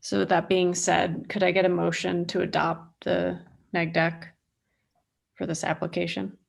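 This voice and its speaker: Woman with a heavily creaky, gravelly voice. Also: lowish voice, flat delivery, unhurried. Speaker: chair of a board meeting. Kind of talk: informal procedural meeting talk. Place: remote video conference call. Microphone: video call.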